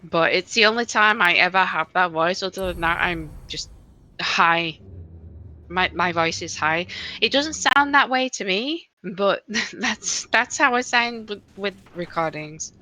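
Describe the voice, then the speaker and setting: A woman's voice: on the low side, animated, unhurried, pushed and bright, rough recording. A woman streamer chatting casually while gaming, rambling with many fillers and restarts into a desk microphone.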